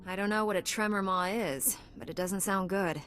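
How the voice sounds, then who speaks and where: A composed female knight voiced by an actress in a sci-fi game. She speaks at a medium pitch, calm and sure of herself with a dry edge, over faint noise. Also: moderate pace, lightly acted. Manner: calm, self-assured, dry. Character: composed, dutiful female Jedi knight, dryly confident. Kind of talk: video game character acting.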